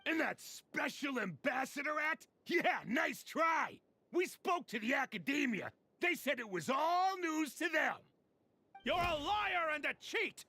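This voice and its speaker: An actor voicing an indignant, scornful desert villager in a game, shouting accusations. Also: male voice, very high-pitched, expressive, unhurried, no echo, full character voice. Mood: outraged. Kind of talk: game voice acting.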